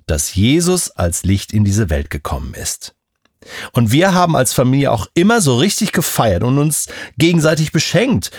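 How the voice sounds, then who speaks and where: A man's voice: medium pitch, darkish tone, husky, dry recording, studio quality. A middle-aged man explaining things to a child in an emphatic, reassuring storytelling way. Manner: emphatic, reassuring, repeats key words. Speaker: expert guest explaining to a child.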